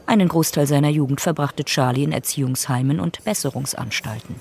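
Gravelly male documentary narrator. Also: on the high side, brisk, music in the background. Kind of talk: scripted documentary drama narration.

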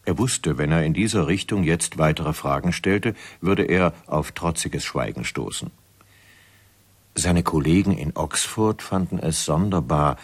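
A man's voice: extremely low pitch, slightly rough, exaggerated voice. A man reading scripted narration for a radio drama in a storytelling style.

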